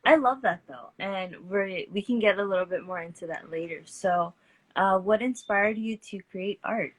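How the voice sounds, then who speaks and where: A woman's voice: mid-range voice, normal speed, poor audio. A woman hosting a casual livestream artist talk, chatty and upbeat, greeting viewers by name.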